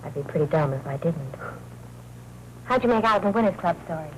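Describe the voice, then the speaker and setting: Woman acting a low-voiced, coy noir young woman. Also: animated, a little fast, natural voice. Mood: coy, smooth. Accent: American. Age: young adult. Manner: coy, teasing, pointed. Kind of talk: 1940s film noir acting. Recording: noisy 1940s film soundtrack.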